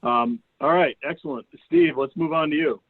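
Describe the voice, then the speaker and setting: A man's voice: on the high side, moderate pace, dry recording. Man hosting, tinny phone-like call. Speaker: meeting host. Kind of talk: casual anecdote and moderating on a group call. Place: video call. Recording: narrow, phone-like call audio.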